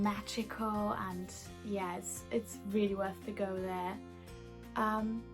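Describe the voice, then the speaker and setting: A native German-speaking woman with a German accent, chatting casually as she answers questions for a video blog. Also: mid-range voice. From Germany or German-speaking Europe. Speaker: video blogger.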